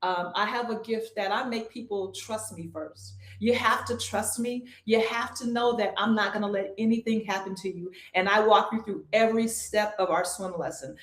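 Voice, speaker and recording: Calm, reassuring woman in an echoey room. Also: mid-range voice, normal speed, hardly any pauses. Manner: calm, reassuring, repeats key points. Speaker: swim instructor. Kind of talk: interview answers.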